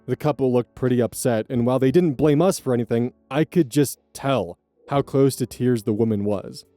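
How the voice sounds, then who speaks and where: A man reading online stories aloud as host, adding blunt, profane commentary in a casual, animated way. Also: medium pitch, normal speed, no room sound, soft background music.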